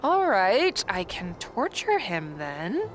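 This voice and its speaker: A woman's voice: medium pitch, wildly swooping pitch, slow pace, noisy background. A woman playing a tough, hot-tempered fighter in a radio drama, sarcastic and blunt. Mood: menacing impatience.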